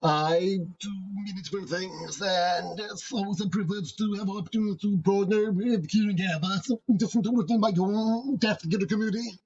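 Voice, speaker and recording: High husky guy in his 40s, earnest, kinda muffled lo-fi recording. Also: normal speed, few pauses, darkish tone. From Edmonton, Canada. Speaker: deaf theatre artist and guest. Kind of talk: interview conversation. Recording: slightly dull lo-fi audio.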